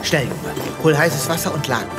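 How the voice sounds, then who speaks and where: An actor playing an eccentric, earnest space veterinarian in a comedic sci-fi audio drama, with a dramatic, quirky delivery. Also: male voice, fairly high pitch, expressive, normal speed, over loud music, close to an everyday voice. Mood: urgent. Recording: loud ambience and sound effects behind.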